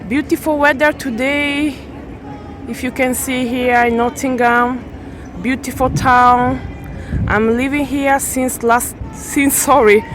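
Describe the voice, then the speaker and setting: A woman vlogger chatting casually while walking outdoors through a city center. Her English is non-native and halting, with frequent restarts. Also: high-pitched, noisy background. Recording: music playing under the voice. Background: background music.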